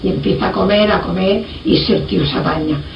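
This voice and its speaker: A woman tells a folk anecdote in an earthy, animated, colloquial way. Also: fairly low pitch, fast, gravelly. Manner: earthy, animated retelling with repeated reported speech. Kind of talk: casual oral anecdote, colloquial. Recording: muffled, roomy, distant mic, faint noise.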